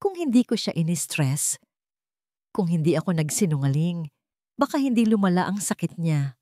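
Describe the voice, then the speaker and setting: A woman's voice: lowish voice, very animated, normal speed, warm tone, no room sound, clean studio sound. A woman voicing a desperate, anguished young man in an audio drama, pleading dramatically. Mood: guilty grief.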